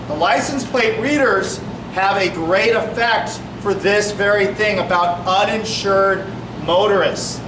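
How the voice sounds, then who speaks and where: A man, a police officer, explaining things to a community meeting, projecting his voice and quizzing the audience. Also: high-pitched. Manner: projecting, brisk, asks the audience questions. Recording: echoey room from far off, noisy.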